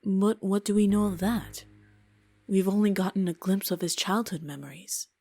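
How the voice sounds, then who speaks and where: A woman's voice: medium pitch, fairly even intonation, moderate pace, creaky, warm tone, no room sound. A woman voicing a dry, level-headed female doctor in a fan dub of a game. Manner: dry and exasperated. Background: faint music.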